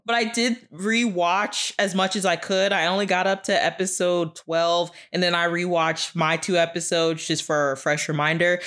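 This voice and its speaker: A woman co-hosting a podcast, chatting about a TV show in an animated, opinionated way full of asides. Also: lowish voice. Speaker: podcast co-host. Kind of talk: casual chat reviewing a TV show.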